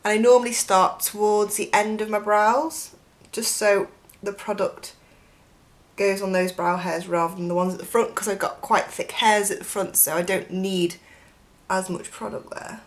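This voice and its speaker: A young woman with a croaky voice from a chest infection, chatting through a makeup tutorial in a gushing way, full of "like" and "um", close to the camera in a bedroom. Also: mid-range voice, level tone, moderate pace. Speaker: beauty vlogger. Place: bedroom with a dressing table, indoors.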